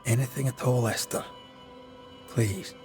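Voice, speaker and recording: Man acting a kindly colonial ghost hunter, reassuring, medium-pitched, video game drama, faint music behind. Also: fairly even intonation, a little slow, darkish tone, natural voice. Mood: pleading.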